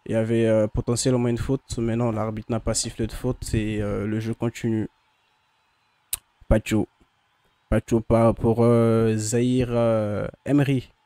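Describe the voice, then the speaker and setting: A man with a mellow, darkish voice, worn by the flu, calls a football match live for his viewers. He hesitates often, filling gaps with drawn-out fillers and restarting his phrases. The sound is dry and close, with no room around it. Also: lowish voice, level tone, no room sound. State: has the flu, voice not at its best. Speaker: fan live-streamer commentating a match. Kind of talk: casual live match commentary with chat.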